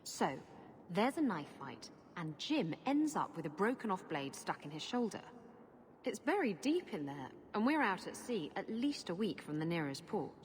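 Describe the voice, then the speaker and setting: A woman's voice: on the low side, normal speed. A cheeky seafarer in a fantasy game. Her voice swings up and down freely as she spins casual, playful tales. It sits in long reverb with faint noise behind it. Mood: playful, storytelling. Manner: animated, casual, playful storytelling. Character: breezy, roguish seafaring woman spinning yarns. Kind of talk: dramatic video game acting.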